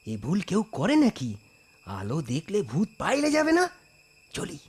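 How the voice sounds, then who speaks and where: A woman's voice: mid-range voice, wildly swooping pitch, moderate pace, breathy edge, heavily acted. A woman voicing a bold, scheming village husband in a children's cartoon, theatrical and coaxing. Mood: coaxing, confident. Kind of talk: animated cartoon dialogue for children.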